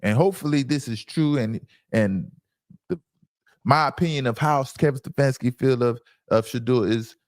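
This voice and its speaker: A man's voice: mid-range voice, normal speed, dry recording, studio quality. A man hosting a sports reaction show, giving opinionated commentary in an emphatic, insistent way and repeating phrases for stress.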